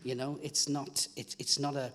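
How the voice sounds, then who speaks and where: A man on a conference panel, a film producer who talks in a loose, halting way and often repeats words. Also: medium pitch, little pitch movement, a bit bright. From Wales. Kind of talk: panel discussion. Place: conference hall. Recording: hall reverb.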